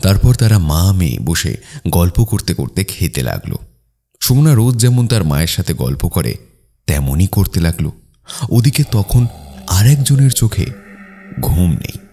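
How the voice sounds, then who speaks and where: A man narrates a cartoon tale in a very deep, gravelly voice. His storytelling rises and falls in a lively way, over soft music. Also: measured pace, pauses often.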